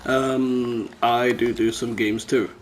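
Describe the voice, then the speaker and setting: Male podcast host, informal and teasing, mid-pitched voice, noisy recording. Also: flat delivery, slow. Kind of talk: casual chat, teasing banter. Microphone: new microphone. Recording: clearly noisy background.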